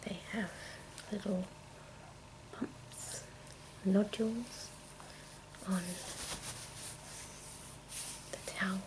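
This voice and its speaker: Woman doing asmr, soft breathy half whisper, really slow and halting, loud background noise w clicking and crinkling. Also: medium pitch, fairly even intonation. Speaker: video host. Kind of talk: ASMR, whispered and soft-spoken product show-and-tell. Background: tapping, clicking and crinkling of handled objects.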